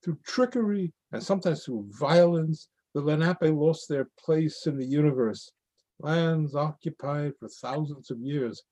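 Man, solemn reader, slightly high voice, mellow and husky, video call. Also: measured pace, rough recording. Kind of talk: dramatic reading of a monologue.

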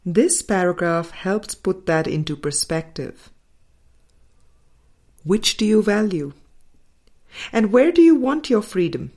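A woman narrating a spiritual audiobook from a script, in measured, deliberate phrases with frequent pauses. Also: mid-range voice, expressive, a little mellow. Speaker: audiobook reader.

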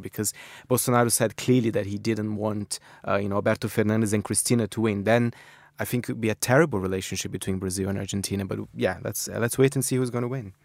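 A man's voice: on the low side, no room sound. A man commenting as a guest in a radio studio discussion, speculative and restarting his phrases.

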